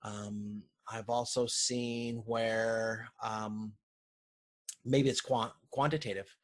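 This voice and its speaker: A man lecturing informally over slides, explaining in a halting way and often correcting himself. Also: fairly low pitch, level tone. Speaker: university lecturer.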